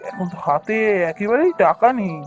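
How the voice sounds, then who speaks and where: A woman's voice: mid-range voice, very animated, a little fast, darkish tone, natural voice. A woman voicing a polite, hesitant young newlywed husband in a Bengali cartoon story. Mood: worried. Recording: dull cartoon audio with music.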